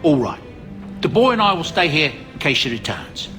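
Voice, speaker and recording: An actor playing a courteous, caring male servant in a fantasy game, polite and gentle. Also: on the high side, big pitch swings, normal speed. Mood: polite concern. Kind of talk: dramatic game acting. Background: game music.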